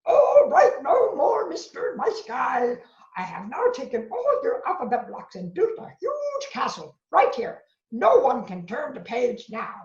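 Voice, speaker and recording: A woman puts on a frantic male monster from a children's storybook. The voice is high and leaps all over in theatrical bluster and pleading. It sounds roomy, with a dull lo-fi finish. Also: huge pitch swings, measured pace, darkish tone, exaggerated voice. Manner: melodramatic, pleading. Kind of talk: animated children's read-aloud.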